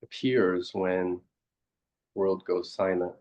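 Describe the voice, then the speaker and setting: A man leading a guided meditation as a teacher, speaking reflectively in short, unhurried phrases and posing gentle questions. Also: low voice, fairly even intonation.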